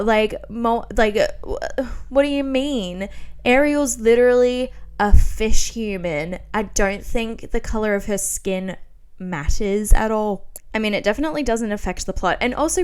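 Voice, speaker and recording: A woman in her twenties hosting a podcast in casual, chatty style, rambling through asides with lots of slang and emphatic, expressive phrasing. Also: a little high, clean tone. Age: 20s. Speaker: podcast host. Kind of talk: casual solo podcast chat.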